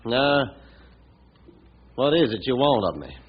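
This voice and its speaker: An actor playing a wary, stubborn young rancher in an old-time radio western, terse and suspicious with a drawl. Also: male voice, mid-range voice, big pitch swings, takes it slowly, breathy edge, heavily acted. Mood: suspicious, curt. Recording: dull, lo-fi old radio recording.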